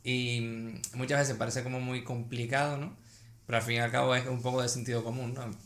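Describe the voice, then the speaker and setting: A man's voice: mid-range voice, level tone, a little fast, a bit bright, echoey room. A man hosting a podcast, talking casually in an interview. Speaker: podcast host.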